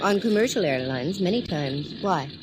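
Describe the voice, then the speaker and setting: A woman's voice: lowish voice, animated, normal speed, noisy background, lightly acted. An actress playing a sassy, wisecracking young female private eye in a 1940s radio drama, teasing and self-assured. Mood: wry.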